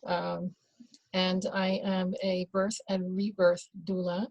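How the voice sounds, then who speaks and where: Woman, from the Netherlands, interview guest, slow, hesitant, fillers, lowish voice, dry close sound. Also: monotone, a little mellow, no echo, lo-fi sound. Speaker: guest, birth and rebirth doula. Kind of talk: casual conversational interview.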